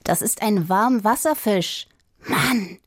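A gruff, indignant boy from a children's story, played by a woman reader. He talks bluntly and scolds like someone who knows better. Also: mid-range voice, slow pace, no echo, studio quality. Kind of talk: children's audiobook character acting.

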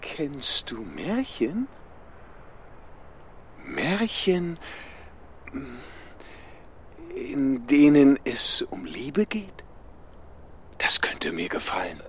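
A man playing a burly, suspicious but soft-hearted woodcutter in a children's radio play, with a dramatic manner. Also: mid-range voice, wildly swooping pitch, extremely slow, pauses often, a little hiss or hum, full character voice. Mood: wistful, charmed.

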